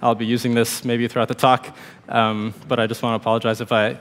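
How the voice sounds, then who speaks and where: A man in his thirties with a cold and a slightly hoarse voice, giving a relaxed technical talk on a conference stage with many fillers. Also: medium pitch, quick pace. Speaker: conference speaker. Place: large conference hall stage. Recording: reverberant hall.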